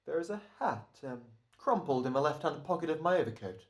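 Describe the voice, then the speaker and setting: A man's voice: fairly high pitch, sing-song, brisk. A man playing a smug, careful hired killer, speaking with hesitant, mannered politeness in a radio drama. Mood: hesitant, polite. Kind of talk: old-time radio drama acting.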